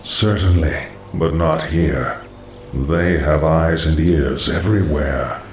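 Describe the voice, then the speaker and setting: An actor voicing a calm, eerie undead lich sorcerer in a video game, ominous and assured, with an echoing recording. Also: male voice, rumbling low, takes it slowly. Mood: calm, knowing. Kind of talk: video game cutscene acting. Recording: echoing distant voice, noisy game ambience.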